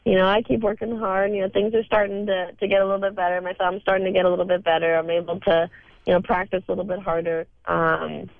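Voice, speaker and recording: A young woman with a cold, hoarse, answering interview questions haltingly with many fillers. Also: mid-range voice, little pitch movement, no room sound. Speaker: guest on phone line. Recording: tinny phone line.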